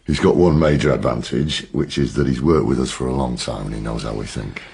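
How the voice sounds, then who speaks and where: An actor playing a blunt, sardonic middle-aged police detective with a gravelly, husky voice, in naturalistic radio drama style. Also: male voice, a bass voice, a little fast, darkish tone, heavily acted. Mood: dry, arguing. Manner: dry, blunt, colloquial.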